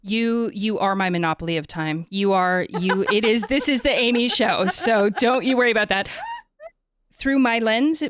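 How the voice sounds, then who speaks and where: A woman's voice: mid-range voice, normal speed, a crowd in the background. A woman hosting an interview in a casual, encouraging and informal conversational manner. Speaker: interview host.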